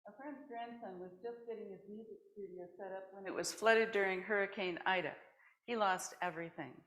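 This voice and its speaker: A woman is presenting at a worship service. She reads short personal vignettes aloud to a congregation, in a voice of middling pitch for a woman. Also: fairly quick, fairly bright tone.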